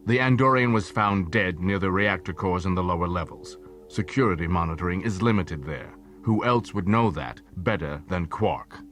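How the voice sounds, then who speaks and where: A man voicing a huge, proud alien warrior in an audiobook, stern, formal and deliberate. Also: deep voice. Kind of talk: audiobook character acting.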